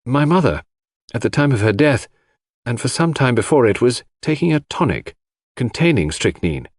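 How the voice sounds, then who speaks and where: A male narrator plays a deferential gentleman giving testimony in an audiobook mystery. He speaks politely and tentatively, his pitch lifting and wandering, in a dry recording. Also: very animated, normal speed, no echo. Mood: hesitant, deferential. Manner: hesitant, apologetic, polite. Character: hesitant, deferential gentleman witness offering a theory.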